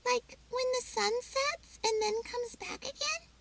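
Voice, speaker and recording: A woman voicing an excitable, earnest young boy squirrel from a storybook, breathless and exclaiming. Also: very high voice, a little slow, vocal fry, voice pushed up, full character voice, poor audio. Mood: wondering.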